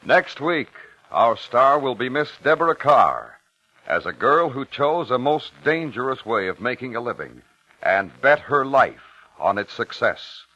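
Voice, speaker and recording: A man with an American accent announcing a program in formal, measured, dramatic 1950s radio style. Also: mid-range voice, big pitch swings, bright, forceful tone, dull audio.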